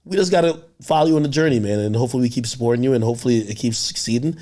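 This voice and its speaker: A man's voice: medium pitch, racing, dark tone. A man born in Jamaica, hosting a podcast interview in brash, profane, joking banter. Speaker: podcast host.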